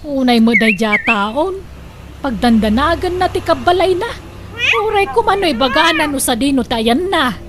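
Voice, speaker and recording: An actress plays an insistent older Filipino sister in a comedic radio drama. Her voice sits very high and she pushes her point like the boss of the family. The recording carries noticeable noise behind her. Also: animated, moderate pace. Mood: exasperated. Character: bossy older sister planning siblings' graves.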